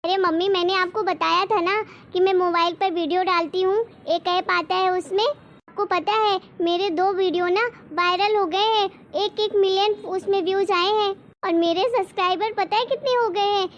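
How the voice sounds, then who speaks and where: An extremely high, childlike female cartoon voice, acted by a woman. The delivery is emphatic and exclamatory, full of devotion, as in a family moral story. Faint noise sits behind it. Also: fairly even intonation, normal speed, lo-fi sound. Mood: excited. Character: excited, devoted young daughter and her protective mother.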